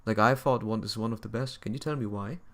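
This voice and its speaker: Low-voiced young man rambling while gaming. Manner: rambling, halting, repeats phrases. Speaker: game livestreamer. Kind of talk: casual chat while gaming.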